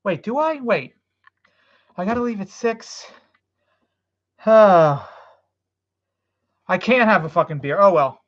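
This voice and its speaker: A woman's voice: medium pitch, expressive, slow, long pauses. Woman streamer, casual rambling chat, profane and loose, dull lo-fi audio. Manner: rambling, swearing, loose after beers. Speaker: live streamer.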